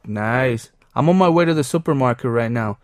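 A man's voice: mid-range voice, expressive, normal speed, smooth, no room sound, close to an everyday voice. Teen schoolboy, read by a man, cheerful textbook dialogue, close and dry. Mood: friendly. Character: friendly teen schoolboy, seventeen.

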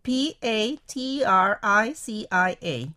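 Friendly commuter, read by a woman, clear textbook delivery. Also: medium pitch, expressive, slow, sharp tone. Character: helpful young working woman, twenty-seven.

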